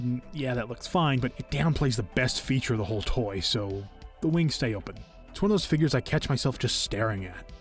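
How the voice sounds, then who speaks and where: A man hosting a video, reading a scripted review in an enthusiastic, expressive and earnest way. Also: mid-range voice. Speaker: video host, toy collector reviewing figures. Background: faint music.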